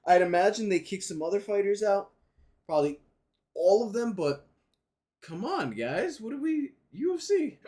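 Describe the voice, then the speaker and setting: A man's voice: high-pitched, normal speed. A man co-hosting a casual podcast, rambling and joking as he talks about fight news. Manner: rambling, joking, animated banter. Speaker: podcast co-host.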